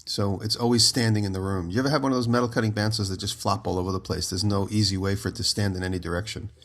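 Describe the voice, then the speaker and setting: Guy with a low groggy morning voice, rambling podcast co-host calling in from home. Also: steady tone, very fast, a bit bright. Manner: rambling storyteller, giggly. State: just woke up, voice lower than usual. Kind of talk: casual chat. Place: his home.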